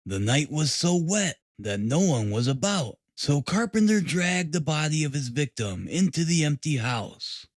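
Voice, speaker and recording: Audiobook role: smug male police detective, acted by a man narrator; formal, pompous, lively intonation, dry close sound. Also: on the high side, measured pace, hardly any pauses, no echo. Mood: confident. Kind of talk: audiobook character reading.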